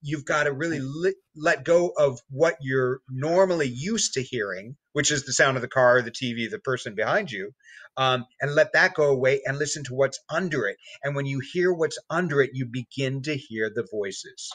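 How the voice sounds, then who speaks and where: Bright-voiced man explaining things casually. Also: mid-range voice, animated, moderate pace, breathy edge, no room sound. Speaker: podcast guest.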